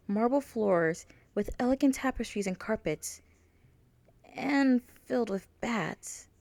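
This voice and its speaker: A woman's voice: a little high, warm tone, no echo. A woman reading out her own fan fiction as a scripted storybook narration.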